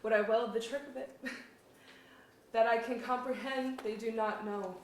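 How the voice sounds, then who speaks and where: In an echoey, noisy hall, a woman plays a proud young fairy maiden in a verse play. She declaims hastily felt, lovestruck lines in a high voice with archaic stage diction. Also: steady tone, normal speed, lightly acted. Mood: sly, gloating. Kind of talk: staged reading of a mock-Shakespearean verse play. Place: museum auditorium staged reading. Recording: echoey auditorium from far off, noisy.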